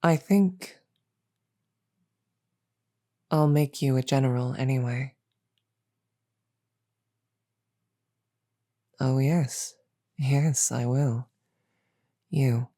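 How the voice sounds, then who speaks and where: A woman playing a regal, measured demon queen in an audio roleplay, grave and wryly amused, pausing deliberately and often slipping toward a whisper. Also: rumbling low, dark tone, exaggerated voice. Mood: decisive, regal. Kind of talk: scripted audio roleplay, slow dramatic delivery.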